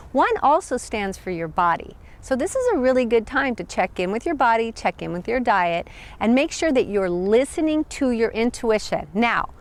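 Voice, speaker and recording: Upbeat American woman talking in a park. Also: mid-range voice. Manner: gentle, upbeat, laughs often. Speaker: chakra teacher, channel host. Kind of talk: casual outdoor talk to camera. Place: outdoors in a park.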